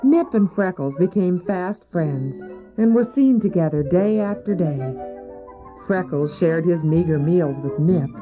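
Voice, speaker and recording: A woman's voice: fairly low pitch, lively intonation, unhurried, music in the background. A woman narrating a children's audio drama in a scripted storybook style. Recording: dull old cassette tape.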